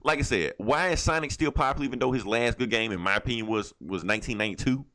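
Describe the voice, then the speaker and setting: A man's voice: mid-range voice, fast, pushed and bright. A man co-hosting a podcast, talking casually and bluntly, with plenty of swearing and an irreverent manner. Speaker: podcast co-host. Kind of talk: casual, profane movie chat.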